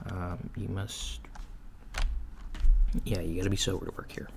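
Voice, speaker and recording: A man playing a mild, matter-of-fact alien floor manager in a soft-spoken, hesitant ASMR job interview roleplay. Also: level tone, a little slow, vocal fry. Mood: procedural. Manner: hesitant, low-key, procedural, murmured acknowledgements. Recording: lo-fi with faint background noise. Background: computer keyboard typing and clicks.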